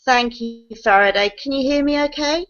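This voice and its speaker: A woman who talks as a guest on an online call, excited and quick to laugh. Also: sits high. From Australia. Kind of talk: casual excited testimony. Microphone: video call. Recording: lo-fi video call audio.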